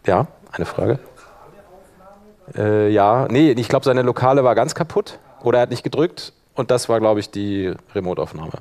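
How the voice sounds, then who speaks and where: A man speaking colloquial speech, giving an informal live software demonstration and thinking aloud as he works. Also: medium pitch, expressive, moderate pace. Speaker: workshop presenter.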